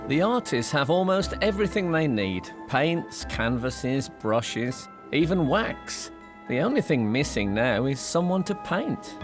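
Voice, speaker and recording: A man narrating a television art competition in a measured, scripted voice-over. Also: on the high side, big pitch swings. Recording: voice-over with music behind.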